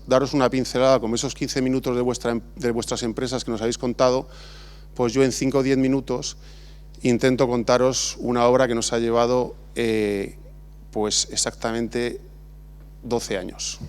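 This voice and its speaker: A man's voice: mid-range voice, clean tone. A middle-aged man from Spain, an architect, talking informally at an awards ceremony with many restarts and fillers. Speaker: architect giving an award acceptance talk. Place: large exhibition hall.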